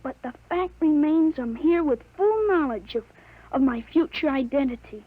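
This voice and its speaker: A self-assured 13yo boy in an old radio play, high voice, muffled lo-fi sound. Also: moderate pace. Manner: calm, matter-of-fact, self-assured. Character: earnest 13-year-old boy with grown man's mind. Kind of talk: 1950s radio drama acting. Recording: dull lo-fi old radio recording.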